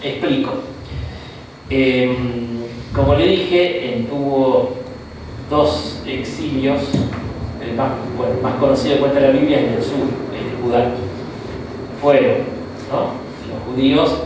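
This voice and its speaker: Argentine man, bishop teaching a Bible class, chatty lecture, booming echoey room, mic far off. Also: steady tone, slow pace, long pauses, noisy background. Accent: Argentine Spanish. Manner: conversational, frequent "¿no?" and "¿tá?" tags, asides.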